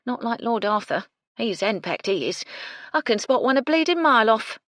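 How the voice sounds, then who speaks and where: A woman's voice: fairly high pitch, sing-song, normal speed, fairly bright tone, no room sound, studio quality, voice pushed up. A woman voicing a brash young kitchen maid, blunt and cheeky.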